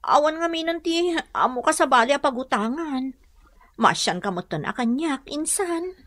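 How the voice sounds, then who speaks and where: High, plaintive struggling Filipino wife. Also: female voice, lively intonation, moderate pace, a bit bright, no room sound. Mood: pleading. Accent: Northern Luzon Filipino. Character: struggling, determined wife, pleading and hardworking. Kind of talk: radio comedy drama acting.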